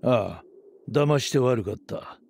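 An actor playing a terse, calm, grave male fighter in an anime game. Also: mid-range voice, takes it slowly, heavily acted. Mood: calm, serious. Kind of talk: Japanese anime game voice acting. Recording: clear background noise.

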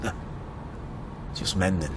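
A man's voice: takes it slowly, close to an everyday voice. A man acting a chatty, probing motorist in a naturalistic radio drama, speaking hesitantly over car noise. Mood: awkward, probing. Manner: hesitant, probing small talk. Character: chatty, probing male motorist, insurance assessor. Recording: clear vehicle noise around the voice. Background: car engine and road ambience.

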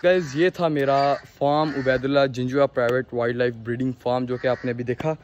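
A young man with a Pakistani accent, talking casually and haltingly to viewers as a farm-owning vlogger, outdoors on a phone. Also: mid-range voice, steady tone, lo-fi sound, a little hiss or hum. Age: young adult. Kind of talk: casual vlog tour, explaining to viewers. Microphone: handheld phone at arm's length.

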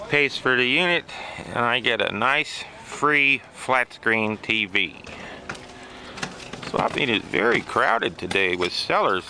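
A middle-aged man talks through his own handheld video outdoors, unhurried and matter-of-fact with a dry, wry streak. His voice has a bright, forward tone. A faint hum of outdoor noise sits behind him on the camera mic. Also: medium pitch. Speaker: storage auction vlogger. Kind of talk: casual handheld vlog narration. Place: outdoors at a swap meet and a storage unit.

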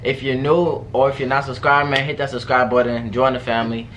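A man's voice: medium pitch, flat delivery, a little fast, background noise. A young man hosting a reaction video in casual, slangy chat, repeating himself for emphasis. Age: young adult. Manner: slangy, informal, repetitive. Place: indoor room at home.

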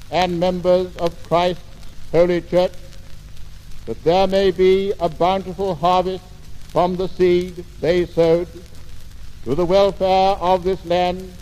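British RP male headmaster, high and monotone, measured solemn prayer, long church reverb on a vintage 1950 record. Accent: British received pronunciation. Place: large stone abbey.

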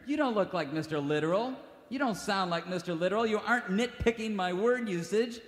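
A man's voice: high voice, animated, brisk. A man hosting a radio comedy show as the folksy, exasperated straight man. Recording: echoey hall with music.

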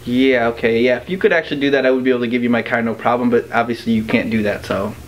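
A man's voice: mid-range voice, fairly even intonation, fast, a little hiss or hum, close to an everyday voice. A man in his thirties acting a sarcastic, exasperated, slangy guy in an amateur short film. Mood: irritated, sarcastic.

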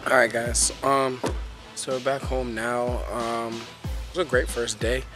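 A man's voice: medium pitch, fairly even intonation, measured pace. A young man hosting a casual car vlog, chatting in a laid-back way with frequent ums, filmed on a handheld camera at an outdoor event and in his car. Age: young adult. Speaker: vlogger host. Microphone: handheld camera held close. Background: beat music.

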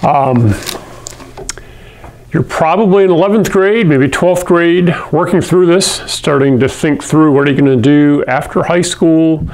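A middle-aged man from Hawaii teaching chemistry to camera in a classroom, talking in a friendly, conversational way and often clearing his throat. He speaks into a lapel mic. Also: fairly high pitch, unhurried, darkish tone, rough recording. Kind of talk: video lesson to camera. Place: classroom in front of a whiteboard.

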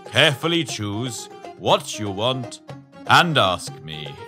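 Cartoon genie: grand, jovial, very bright, drawn-out delivery, big laugher. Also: male voice, medium pitch, exaggerated voice. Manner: laughs heartily, slow grand pronouncements. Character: booming jovial male genie, grateful and grand. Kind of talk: children's cartoon acting.

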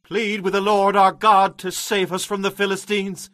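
A man's voice: sits high, flat delivery, normal speed, sharp tone, dry recording, studio quality. An actor playing a frightened, pleading townsman of ancient times in a dramatized audio Bible, speaking urgently.

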